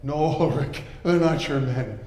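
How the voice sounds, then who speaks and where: A man's voice: on the high side, unhurried, a little mellow, natural voice. A man playing a mocking, stubborn rival landowner who laughs at his enemy. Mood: grim. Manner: scornful, laughing. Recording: echoey hall with long reverb.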